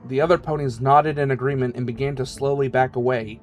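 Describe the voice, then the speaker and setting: A man's voice: mid-range voice, steady tone, fairly quick. A man reading scripted fiction as the narrator of a story. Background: music.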